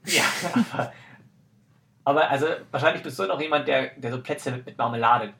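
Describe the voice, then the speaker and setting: A man's voice: medium pitch, fairly quick, creaky, bright, forceful tone, a little hiss or hum. A man hosting a podcast in casual chat, talking in an informal, slangy, bantering way. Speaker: podcast host.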